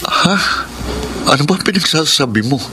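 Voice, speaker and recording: A man's voice: fairly high pitch, expressive, moderate pace, very noisy, lightly acted. An actor playing an earnest young man in a Filipino radio drama, a firm son standing up against an arranged marriage. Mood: indignant. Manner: firm, indignant, pleading. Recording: music behind the voice.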